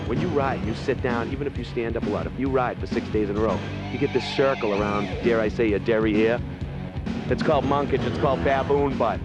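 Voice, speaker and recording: A man hosting a motorsport show on location, talking in a jokey, wisecracking, high-energy way. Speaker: show host.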